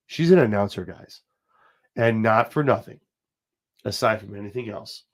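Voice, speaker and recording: A man in his forties hosts a casual livestream, his voice sitting a little low and stuffed up from a slight cold. He rambles and pushes his points hard, talking straight to his viewers. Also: moderate pace. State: has a cold, sniffling. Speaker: show host. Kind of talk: casual wrestling commentary livestream.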